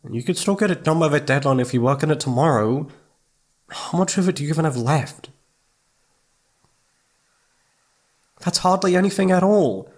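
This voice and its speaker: A man playing a needy, affectionate young boyfriend in an intimate ASMR roleplay, speaking in a soft, pleading, hesitant way. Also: on the high side, expressive, brisk. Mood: coaxing. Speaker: audio roleplay voice actor.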